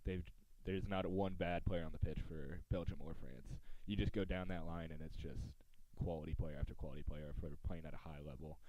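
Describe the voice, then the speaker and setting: A man's voice: rumbling low, fairly even intonation, brisk, hardly any pauses, muffled recording, noisy background. An American man hosting a podcast, talking sports in a casual, conversational style. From United States.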